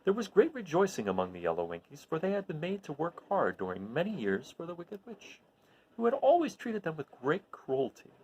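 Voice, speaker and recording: A man reading a children's story aloud expressively, in an animated way that addresses his listeners. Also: fairly high pitch, fairly quick, breathy edge, poor audio. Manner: animated, recapping with questions to listeners.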